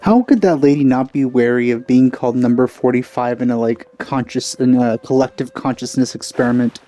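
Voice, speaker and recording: A man's voice: medium pitch, fairly quick, dark tone, dull audio. A man giving casual, musing commentary as he plays a video game, with faint music behind. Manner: musing, informal. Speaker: gamer playing and commenting.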